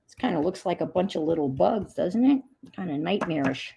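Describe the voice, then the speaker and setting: A woman's voice: fairly low pitch, animated, brisk, vocal fry, warm tone, a little hiss or hum. A middle-aged woman with a Long Island New York accent, chatting in a rambling way to herself and her viewers while she paints. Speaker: craft vlogger. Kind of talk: casual chat while painting.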